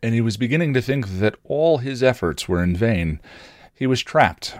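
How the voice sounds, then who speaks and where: A man reading fan fiction aloud as expressive story narration. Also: medium pitch, normal speed, dry recording, studio quality. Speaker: fan fiction reader on a podcast.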